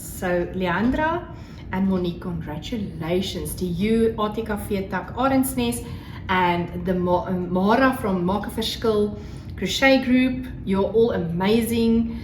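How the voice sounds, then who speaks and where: A woman's voice: mid-range voice, a little slow, a little mellow. A South African woman whose home language is Afrikaans, chatting casually and gushingly as the host of a solo craft podcast. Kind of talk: casual solo vlog chat. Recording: roomy echo, faint background noise. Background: crackling fire, faint noise.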